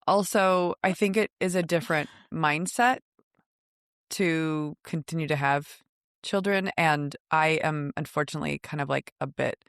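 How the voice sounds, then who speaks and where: A woman's voice: sits low, a little slow, fairly bright tone, no echo, studio quality. A woman in her forties co-hosting a podcast, chatting casually in a hesitant, reflective way. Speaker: podcast co-host. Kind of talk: casual chat.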